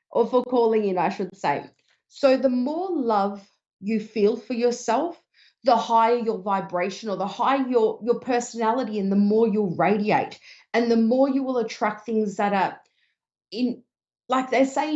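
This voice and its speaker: A woman hosting a psychic advice show, a little hoarse and talking earnestly with many fillers. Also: a little high, a little mellow. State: slightly hoarse, losing her voice. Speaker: psychic radio host. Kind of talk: casual advice talk. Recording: dull lo-fi stream.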